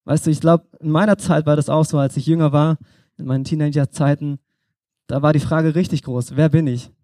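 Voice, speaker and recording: A young man preaching in a relaxed, conversational way, speaking straight to the listener as if chatting. His voice sits a little high but sounds mellow and dark. The sound is close and dry, with almost no room echo. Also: brisk. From Germany. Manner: conversational, addresses listener directly. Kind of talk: informal sermon.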